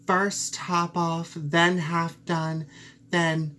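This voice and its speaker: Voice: medium pitch, level tone, drawn-out words, sharp tone, pitched above the performer's own voice. A performer voicing a small, earnest, suspicious female mouse in a storybook, plaintive and full of questions. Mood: dismayed, accusing. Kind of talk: storybook character voice.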